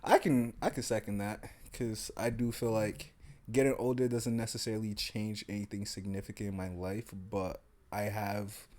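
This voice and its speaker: A man in his late twenties, co-hosting a podcast in relaxed casual chat and laughing often. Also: on the low side, moderate pace. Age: 20s. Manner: laid-back, laughs often. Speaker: podcast co-host.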